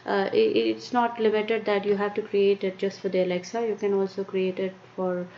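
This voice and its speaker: A woman with an Indian accent presenting a live-streamed tech lesson, talking haltingly with many fillers and restarts. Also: mid-range voice, little pitch movement, dark tone. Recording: muffled lo-fi stream with faint noise.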